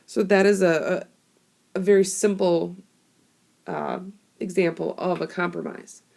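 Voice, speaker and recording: Hoarse woman teacher with a gravelly voice. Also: lowish voice, a little slow, a little mellow. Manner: casual, chatty explaining with fillers. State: hoarse from a cold. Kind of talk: classroom screencast lesson. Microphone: tablet microphone.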